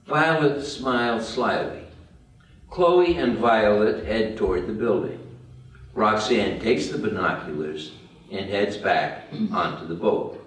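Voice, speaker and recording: Slow, low-voiced man reading stage directions. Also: animated. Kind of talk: scripted narration at a table read. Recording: roomy, distant mic.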